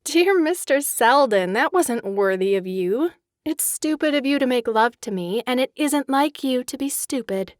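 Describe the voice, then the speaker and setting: A refined, witty young socialite. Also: female voice, high voice, measured pace, dry recording, clean studio sound. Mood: frank, coaxing. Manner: refined, expressive, coquettish. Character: elegant young socialite, discontented, witty and charming. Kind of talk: dramatized audiobook acting.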